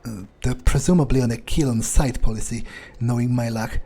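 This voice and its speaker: A man's voice: mid-range voice, fairly even intonation, a little fast, warm tone, slightly rough. A man improvising in-character video game commentary, thinking aloud in a musing, hesitant way and swearing freely. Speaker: let's player role-playing in character.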